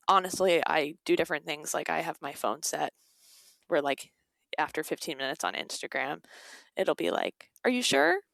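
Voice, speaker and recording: Young woman with a creaky, conversational voice. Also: sits low, dry recording. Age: young adult. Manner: informal, peppered with "like" and quoted asides. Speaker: guest, author. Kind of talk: casual interview conversation.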